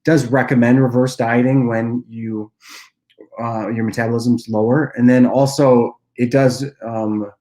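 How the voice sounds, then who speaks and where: A young man with a nasal voice from a cold, casually answering viewer questions on a livestream and often clearing his throat. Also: mid-range voice, a little slow. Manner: relaxed, loose delivery with frequent uhs and throat clearing. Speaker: app cofounder and dietitian hosting a Q&A livestream.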